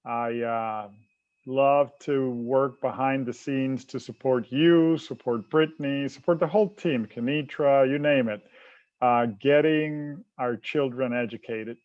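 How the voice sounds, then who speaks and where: A man's voice: medium pitch, steady tone, a little slow. Puerto Rican man on a tinny line. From Puerto Rico. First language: Spanish. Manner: friendly, easygoing, with small hesitations. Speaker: finance director. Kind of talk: casual panel chat.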